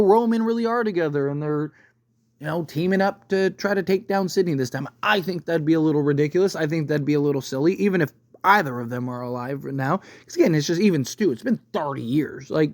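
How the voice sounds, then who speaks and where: A man's voice: fairly high pitch, no echo, clean studio sound. A man giving casual, unscripted movie commentary as a video host, rambling and often checking in with the listener by tagging his sentences with a question.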